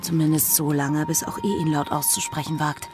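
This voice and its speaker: An actress playing a resolute young gentlewoman in a pirate-era radio drama, speaking proudly in formal, old-fashioned phrasing. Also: deep voice, flat delivery, fairly quick, over music, lightly acted. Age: young adult. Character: fierce, determined young gentlewoman turned fighter in pirate era. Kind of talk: German radio drama acting.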